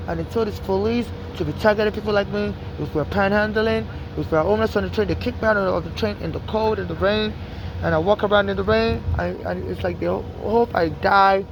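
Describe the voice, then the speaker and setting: A woman's voice: mid-range voice, background noise. A woman from Nigeria with a mixed Nigerian and American accent, talking urgently in a rambling street monologue full of restarts and "you know". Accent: Nigerian-American mix.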